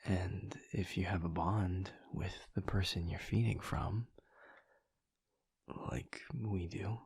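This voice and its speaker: A man playing a gentle, earnest vampire lover in an intimate audio roleplay, speaking in a halting, stammering, breathy near-whisper. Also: steady tone, dry recording, full character voice. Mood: thoughtful, hushed. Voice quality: breathy, gravelly low voice.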